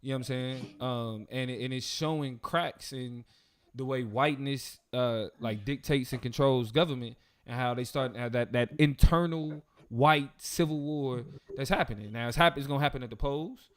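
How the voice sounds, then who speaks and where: A man co-hosting a casual political talk show. He speaks in a relaxed, slangy way, full of fillers and quick asides. His voice is mid-pitched for a man. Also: smooth. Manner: laid-back, slangy, many fillers. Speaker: podcast co-host.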